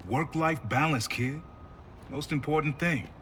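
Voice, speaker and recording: A man's voice: fairly high pitch, a little slow, a bit bright, a little hiss or hum. A middle-aged man acting a streetwise, protective uncle and mentor in a video game, direct and casual. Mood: reflective, encouraging.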